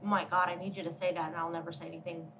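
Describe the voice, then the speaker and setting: A woman's voice: on the low side, level tone, rapid-fire, recorded from a distance. A woman co-host who talks candidly and chattily and laughs easily. She sits a little back from the mic, with a faint hiss of noise under her voice. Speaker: podcast co-host. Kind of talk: casual conversation.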